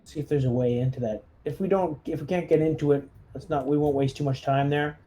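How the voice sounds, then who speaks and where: A man's voice: a little high, fairly even intonation, fast talker, dark tone. A man talking casually as he directs a squad in a tabletop roleplaying game. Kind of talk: casual game-session chat.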